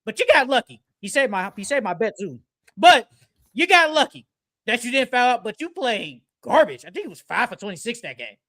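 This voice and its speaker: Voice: mid-range voice, big pitch swings, brisk, pushed and bright. A sports podcast co-host in a casual basketball debate, speaking in an emphatic, opinionated, slangy way.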